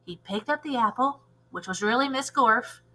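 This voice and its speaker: A woman's voice: on the high side, moderate pace, pushed and bright. A woman reading aloud as a teacher, narrating a children's storybook expressively.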